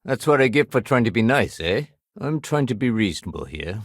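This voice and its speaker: A man playing a dutiful, wry, level-headed space-colony security sergeant in a video game, with measured, conversational authority. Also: mid-range voice, big pitch swings, no room sound, clean studio sound. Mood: reasonable, low-key. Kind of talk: video game character dialogue.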